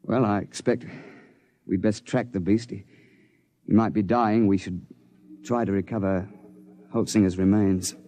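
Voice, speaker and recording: A man's voice: lowish voice, fairly even intonation, a little slow, pauses often, warm tone, dry recording, natural voice. An actor playing a seasoned British big-game hunter who narrates his adventure in clipped, old-fashioned phrases in a 1950s radio drama. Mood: grim, subdued. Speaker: narrator and lead character. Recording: vintage 1950s radio broadcast with music.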